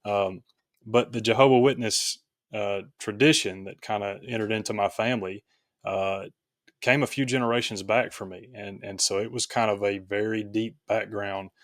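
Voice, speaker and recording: Male podcast guest from the US, lower register, dry recording, reflective and halting conversational delivery. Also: fairly even intonation, no room sound. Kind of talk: conversational interview.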